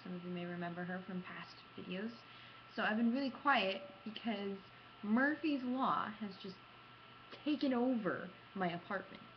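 A woman's voice: mid-range voice, moderate pace. Muffled young woman vlogger, casual chat. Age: young adult. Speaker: gaming vlogger. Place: small room at home, indoors. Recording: muffled lo-fi webcam audio, background noise.

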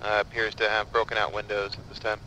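A man's voice: fairly low pitch, monotone, fast talker, pushed and bright, a little hiss or hum, poor audio. A man giving terse, procedural police radio reports as an air unit in game role-play.

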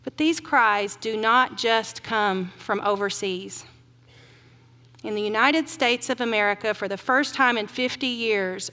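A woman's voice: fairly high pitch, sharp tone. Earnest woman preaching in a reverberant hall. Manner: earnest, slowing to stress grim facts. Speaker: keynote speaker. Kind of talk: keynote sermon to a large audience. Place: large auditorium stage. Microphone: podium mic.

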